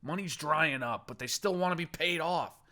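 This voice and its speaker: A man's voice: a little high, moderate pace, pushed and bright, voice pushed up. A man voicing a yelping captive in pain. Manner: strained, yelping, cursing through pain. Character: beaten male captive gang lieutenant, in pain.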